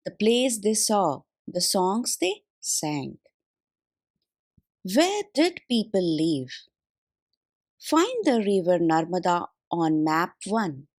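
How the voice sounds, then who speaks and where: A woman reading a school textbook aloud as scripted audiobook narration, in deliberate, measured phrases. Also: mid-range voice. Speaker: audiobook reader.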